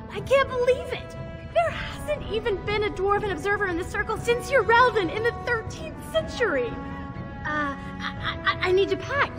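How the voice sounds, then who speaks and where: Very high-voiced eager young dwarf. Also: female voice. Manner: excitable, breathless, earnest. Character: eager, bubbly young dwarf woman, studious. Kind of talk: video game voice acting.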